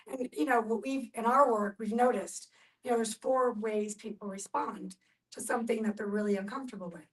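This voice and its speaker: A woman's voice: a little high, fairly even intonation, brisk, recorded from a distance, poor audio. A woman speaking earnestly in a reflective group discussion, explaining her points and repeating words for emphasis.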